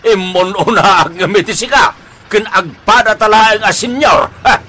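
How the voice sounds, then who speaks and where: High-pitched, blustering hothead. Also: male voice, normal speed, bright, forceful tone, slight background noise. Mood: indignant, scolding. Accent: Ilocano. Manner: blustering, scolding, repeated exclamations. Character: hot-tempered quarrelsome man, loud neighborhood bully. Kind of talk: radio drama acting.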